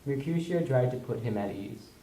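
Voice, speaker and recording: Mellow male storyteller in echoey room. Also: medium pitch, lively intonation, moderate pace. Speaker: narrator. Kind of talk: comic scripted storybook narration with slang.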